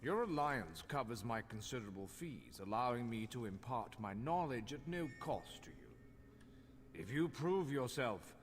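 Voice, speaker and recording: An actor voicing a haughty, scholarly master craftsman in a fantasy video game, speaking in a formal, measured, instructional way. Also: mid-range voice, sing-song, a little hiss or hum. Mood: businesslike, haughty. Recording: long hall reverb, faint music behind.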